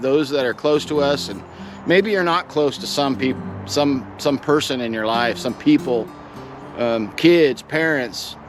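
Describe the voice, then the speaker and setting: Middle-aged male vlogger, mid-range voice, reflective and plain-spoken personal storytelling over clearly audible music. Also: mid-range voice.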